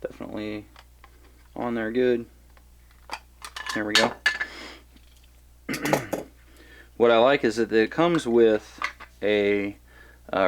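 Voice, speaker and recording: A man with a lowish voice talks through a gear review in an easygoing, unhurried way. He hesitates often, filling gaps with "um" and "uh" and restarting his sentences as he explains what he likes. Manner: relaxed, rambling with many fillers and restarts. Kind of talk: casual product review.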